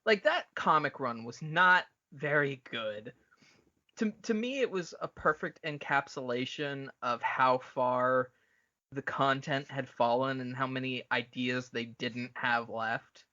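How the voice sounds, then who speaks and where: A man with a bright, punchy voice chats casually as a podcast co-host. He is blunt and opinionated, swearing freely. The audio is somewhat dull and lo-fi. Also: medium pitch, measured pace. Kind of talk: casual, profane fan discussion.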